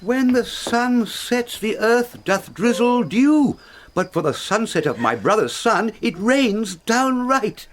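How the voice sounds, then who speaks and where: A man's voice: sits high, lively intonation, slow. An actor playing a grandiloquent noble father in a classical Shakespearean radio drama. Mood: declamatory.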